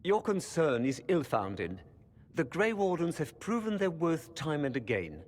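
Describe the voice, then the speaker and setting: Haughty imperial envoy, lively pitch. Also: male voice. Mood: haughty, cool. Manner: condescending, measured, formal. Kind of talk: dramatic fantasy game acting. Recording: hall reverb.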